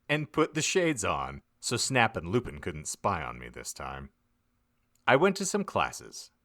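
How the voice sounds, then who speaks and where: Man narrating slowly with animated swings. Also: medium pitch, dry recording, studio quality. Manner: theatrical, deliberate delivery. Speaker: podcast host reading fan fiction aloud. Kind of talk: dramatic comic audiobook narration.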